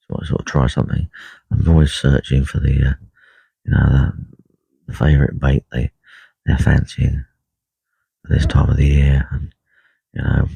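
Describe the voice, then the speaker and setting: A man with a croaky voice talking quietly and unhurriedly on a live stream from a lake bank, full of ums and uhs. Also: extremely low pitch, dry recording. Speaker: carp angler livestreaming. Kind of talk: casual live stream chat. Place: lakeside fishing swim outdoors. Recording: dull lo-fi live stream audio.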